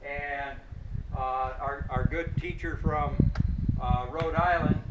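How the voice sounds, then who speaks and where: A man organizing a golf clinic, giving plain group instructions with some halting fillers, outdoors. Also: a little high, fairly even intonation, echoey room, muffled recording. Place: outdoors at a golf practice area. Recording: distant, phone-thin, noisy outdoor recording.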